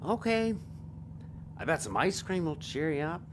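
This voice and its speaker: An actor playing a gentle, self-doubting married father in an audio drama, with a hesitant delivery. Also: fairly high pitch, big pitch swings, normal speed. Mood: playful, fatherly. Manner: hesitant, soft-hearted. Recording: faint background noise.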